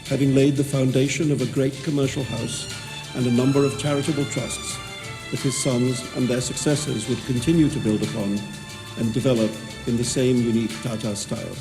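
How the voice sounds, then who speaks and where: A man from Mumbai giving a short, friendly, slightly halting speech and reading a passage at a book launch. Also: mid-range voice, flat delivery, music in the background, poor audio. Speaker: event speaker.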